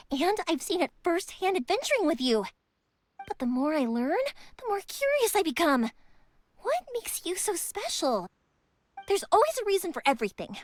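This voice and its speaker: A woman voicing a cheerful, chatty young woman in a video game, with a girlish, expressive, earnest delivery. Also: sits high. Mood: curious, earnest. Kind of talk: video game character voice lines. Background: background music.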